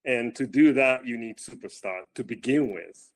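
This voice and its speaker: A male running coach speaking in a casual interview, with a loud voice, often repeating words as he starts his sentences. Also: medium pitch, moderate pace.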